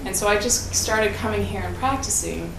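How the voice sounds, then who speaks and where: A woman's voice: mid-range voice, moderate pace, gravelly, distant mic. A woman who teaches piano, answering interview questions thoughtfully, with fillers and restarts. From United States. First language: English. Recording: echoey room with loud ambient noise.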